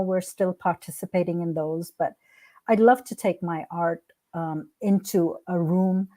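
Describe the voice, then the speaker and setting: A woman with a fairly low, soft-edged and mellow voice speaks as an interview guest. Her native language is Hindi. She answers conversationally, in a reflective and earnest manner. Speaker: podcast guest, art curator and founder.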